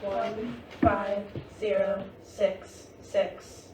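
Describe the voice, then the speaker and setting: A woman's voice: medium pitch, completely flat delivery, very slow, music in the background. A woman acting a desperate, protective Japanese wartime mother in a staged play reading in a roomy theatre. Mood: grieving, resigned. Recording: roomy theatre, distant mic, faint noise.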